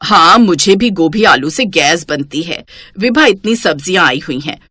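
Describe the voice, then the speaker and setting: A woman's voice: lowish voice, lively intonation, normal speed, sharp tone, slightly rough, no echo, clean studio sound, exaggerated voice. A woman narrator voicing a fault-finding elderly mother-in-law who complains and scolds in a family story. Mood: nagging.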